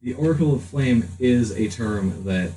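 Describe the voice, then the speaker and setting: A man's voice: mid-range voice, expressive, measured pace, mellow, far from the mic. A man narrating a tabletop roleplaying game as game master, in a hesitant, improvised way. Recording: roomy, off-mic, faint room noise.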